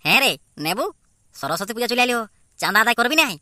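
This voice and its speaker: A man's voice: very high voice, animated, fast talker, heavy background noise. A man playing a bossy village lad who leads his friends in an amateur cartoon, with a Purulia Bengali accent. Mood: eager, bossy. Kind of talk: amateur cartoon comedy dialogue.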